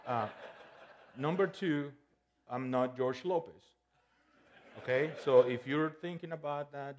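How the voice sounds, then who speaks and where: Joking guest preacher man. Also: mid-range voice. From El Salvador. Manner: playful, many restarts and "okay?" checks. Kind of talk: joking conversational sermon.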